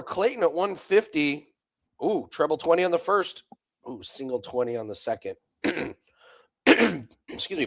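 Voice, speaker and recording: An American man co-hosting a live darts watch-along, his voice lively and full of swings. There's a gravelly edge to it, and he chuckles as he calls each score. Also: on the high side. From United States. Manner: laughs often, wry, calls scores play by play. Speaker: co-host calling darts bets.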